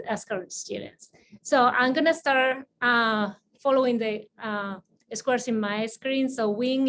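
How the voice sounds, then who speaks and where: A woman from Spain with a Spanish accent, moderating an online panel as an assistant dean, talking quickly with frequent fillers and restarts. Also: mid-range voice, a little hiss or hum. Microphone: video call.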